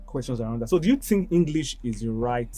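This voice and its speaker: A man's voice: medium pitch, expressive, fast talker, dark tone, faint music behind. A man hosting an interview, asking probing follow-up questions. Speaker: host. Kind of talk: casual interview conversation.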